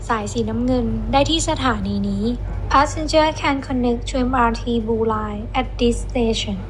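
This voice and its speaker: A woman reading recorded train station announcements in a calm, even, measured delivery. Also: fairly high pitch, slight background noise, rough recording. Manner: slow, evenly spaced announcements in two languages.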